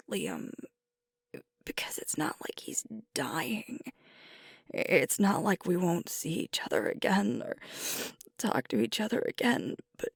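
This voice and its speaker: A woman playing a sweet, vulnerable alpha werewolf girlfriend in an intimate ASMR roleplay, speaking softly and hesitantly as she grieves. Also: medium pitch, no echo, studio quality, heavily acted. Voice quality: soft, breathy, often whispered. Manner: slow, hesitant, confiding, with pauses.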